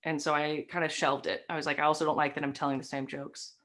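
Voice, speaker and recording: A woman's voice: sits low, level tone, quick pace, fairly bright tone. A young woman with a light Southern American accent, chatting as an interview guest and telling stories with many fillers, recorded on a webcam in a decorated home room. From Southern United States. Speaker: guest, stream host and comic. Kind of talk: casual interview chat.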